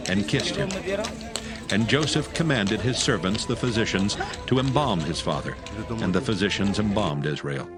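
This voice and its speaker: Low-voiced male scripture narrator. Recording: music under the voice.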